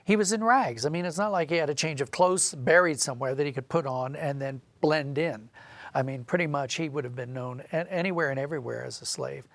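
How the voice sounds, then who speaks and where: A man's voice: on the high side, fairly quick, vocal fry, a bit bright, dry recording. A man speaking as an interview guest, telling a story conversationally in an explanatory, emphatic way.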